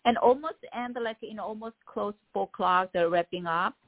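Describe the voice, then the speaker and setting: A middle-aged woman with a Korean accent, a poet speaking as a guest in a casual interview, halting and searching for words. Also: mid-range voice. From South Korea. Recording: tinny phone line.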